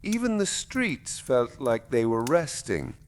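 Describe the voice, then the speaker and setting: A man voicing a gentle, musing woman in a bedtime story, softly and dreamily. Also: medium pitch, sing-song, slow, slight background noise, pitched above the performer's own voice. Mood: dreamy, musing. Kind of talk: bedtime story character dialogue.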